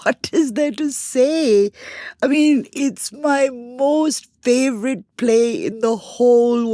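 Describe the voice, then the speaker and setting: A woman's voice: on the high side, darkish tone, no room sound, clean studio sound. A woman with an Indian accent co-hosting a podcast, narrating from a script in an articulate, emphatic way. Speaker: podcast co-host.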